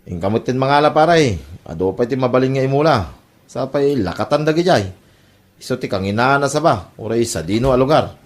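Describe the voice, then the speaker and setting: Man playing an animated, good-natured village farmer. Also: mid-range voice, brisk, lightly acted. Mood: earnest. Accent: Ilocano. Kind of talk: comedy radio-style drama acting.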